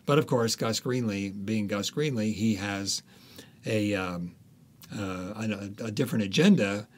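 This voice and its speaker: A man with a slightly low voice talks as a guest author in an interview. He tells anecdotes in a conversational way, often halting as he searches for the next word.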